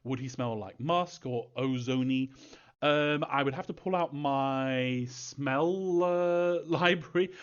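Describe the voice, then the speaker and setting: Male creator fielding fan questions: average pitch, halting, meandering casual delivery, slightly dull low-fidelity audio. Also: clean tone. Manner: hesitant, rambling, thinking aloud. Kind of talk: casual live Q&A talk.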